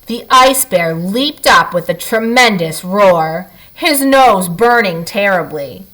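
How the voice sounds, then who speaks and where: A woman teacher reading to children and guiding them through a lesson, expressive and speaking to them directly. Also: mid-range voice, slow pace, rough recording. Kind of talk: children's read-aloud and lesson.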